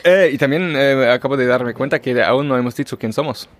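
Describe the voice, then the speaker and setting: A man's voice: mid-range voice, brisk. A man with a German accent, native German speaker, co-hosting a podcast in casual chat, thinking aloud with many fillers and restarts. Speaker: podcast co-host.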